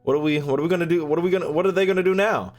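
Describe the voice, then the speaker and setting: A man hosting a game playthrough, reacting in casual, slangy, profane speech and low-key interjections. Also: racing, a little mellow. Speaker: gaming video host. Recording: game music behind the voice.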